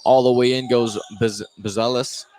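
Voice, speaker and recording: A man doing live play-by-play basketball commentary, a little halting, often repeating and correcting himself. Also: mid-range voice, steady tone, a little mellow, a little hiss or hum. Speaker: play-by-play announcer. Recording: clear gym ambience, bouncing basketballs. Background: basketball game in a gym.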